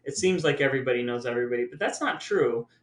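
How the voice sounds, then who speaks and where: A man's voice: mid-range voice, lively intonation, fast. A man chatting casually and reminiscing about family, with a joking, self-deprecating manner, as a podcast host. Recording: echoey, roomy sound.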